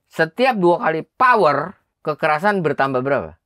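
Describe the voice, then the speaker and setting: A man's voice: on the high side, very animated, normal speed, a bit bright, dry recording, clean studio sound. A man explaining technical material informally, repeating phrases for emphasis and quizzing his listener as he goes. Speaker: audio engineering teacher.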